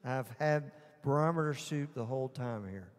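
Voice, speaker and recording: A man's voice: mid-range voice, moderate pace. A middle-aged man with a Southern US accent, chatting casually between songs as host of his livestream. He rambles, chuckles and loses his train of thought. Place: small indoor stage with curtains. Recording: roomy reverb with guitar music behind. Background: live guitar and backing music.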